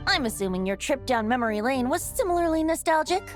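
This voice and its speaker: A woman's voice: high voice, animated, fast talker, music in the background. A woman playing a genial, poetic, poised lady in a video game, courteous and lyrical in manner. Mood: reflective.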